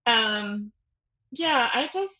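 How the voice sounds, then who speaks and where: A woman's voice: on the high side, animated, drawn-out words, sharp tone, highs cut off. A woman speaking as a guest novelist in a casual, informal interview, often breaking off and rephrasing as she thinks aloud.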